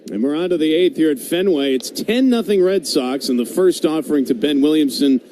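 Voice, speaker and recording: Mellow, higher-voiced man calling baseball on radio. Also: a little fast. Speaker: baseball play-by-play announcer. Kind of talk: live baseball radio commentary with casual banter. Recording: steady ballpark background noise. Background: stadium ambience.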